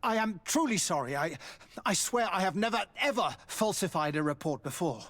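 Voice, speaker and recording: An uneasy army physician in a fantasy video game. His voice sits somewhat high for a man, and he talks formally, with hesitant, deferential apologies. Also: animated, normal speed, a bit bright. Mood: anxious, confessing. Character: evasive, formal male army doctor, nervous and deferential. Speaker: military doctor. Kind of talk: dramatic video game acting.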